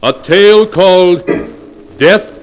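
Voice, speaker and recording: An actor playing an ominous storyteller of dark tales, narrating 1940s radio horror in a slow, theatrical, drawn-out way. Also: male voice, high voice. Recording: noisy 1940s radio recording, long reverb.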